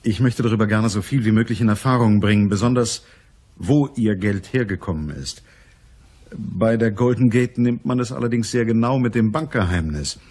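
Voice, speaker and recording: An actor playing a businesslike, courteous private detective in a radio crime drama, with a husky voice and a measured, matter-of-fact manner. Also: male voice, on the low side, a little mellow. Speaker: narrator and lead actor.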